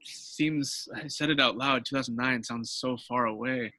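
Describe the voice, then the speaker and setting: Friendly, bright-voiced man laughing easily. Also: medium pitch, fairly even intonation, dry recording. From born in Colombia, raised in Washington. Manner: friendly, laughing, halting with restarts. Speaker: guest, Spanish teacher. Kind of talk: casual interview talk. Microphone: video call.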